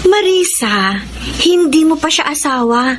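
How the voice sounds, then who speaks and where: A woman's voice: high voice, sing-song, a little slow, slight background noise. A woman playing an inquisitive, frank and concerned friend in a radio drama. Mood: disapproving.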